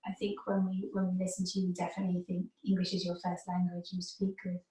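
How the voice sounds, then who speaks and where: A woman's voice: fairly low pitch, completely flat delivery, fast talker, mellow, recorded from a distance. A woman hosting a conversation, asking encouraging, loosely phrased questions. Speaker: host and choreographer. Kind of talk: interviewing a collaborator. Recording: roomy sound from away from the mic.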